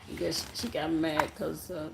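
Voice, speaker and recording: A soft-voiced live-stream host chatting casually with viewers in a rambling, halting way before a story reading. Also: mid-range voice, vocal fry, background noise. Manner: rambling and halting, with many fillers and trailing sentences.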